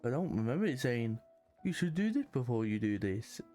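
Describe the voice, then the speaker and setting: A man's voice: medium pitch, very animated, a little fast, mellow. A man giving casual, slangy gameplay commentary in a muttering way as he plays, with game music behind him. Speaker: streamer playing a game.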